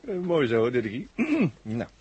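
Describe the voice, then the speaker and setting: An actor playing a chatty, wry astronaut driver in a 1960s radio drama, speaking in a colloquial way. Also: male voice, medium pitch, huge pitch swings, slow, a little mellow, muffled recording. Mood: jaunty. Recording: noisy old radio recording with clicks.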